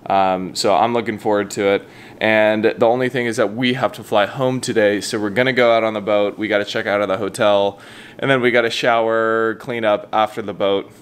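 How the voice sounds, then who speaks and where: A man's voice: lowish voice, fairly even intonation, fairly quick, clean tone. A man, perhaps in his thirties, chatting casually to a handheld camera as a vlogger. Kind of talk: casual vlog chat. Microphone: handheld camera at arm's length. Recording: noisy vlog audio, ambient outdoor noise.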